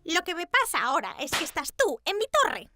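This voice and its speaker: A woman's voice: extremely high pitch, very animated, brisk, sharp tone, faint music behind, heavily acted. A woman voicing a grumpy, sulky young witch in a children's cartoon, with curt delivery. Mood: sulky, defeated. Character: grumpy young witch princess who fancies herself evil.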